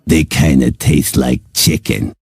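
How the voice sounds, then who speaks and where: An actor playing a grisly, unbothered slaughterhouse night foreman in a video game, gravelly and deadpan. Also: extremely low pitch, takes it slowly, no room sound, clean studio sound, exaggerated voice. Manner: deadpan, matter-of-fact.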